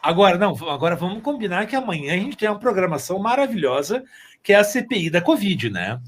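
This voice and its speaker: A man's voice: high voice, big pitch swings, fast talker, noisy background. A middle-aged man, chatting casually as a guest in an emphatic, joking, exclamatory manner. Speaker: podcast guest, school teacher. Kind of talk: casual animated chat.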